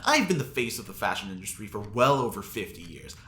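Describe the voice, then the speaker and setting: Haughty, theatrical fashion icon, self-admiring, lively inflection, echoey recording with faint noise. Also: male voice, lowish voice, fast. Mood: indignant. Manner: languid, pompous, self-admiring. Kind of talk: audio drama dialogue acting. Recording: roomy echo, faint noise.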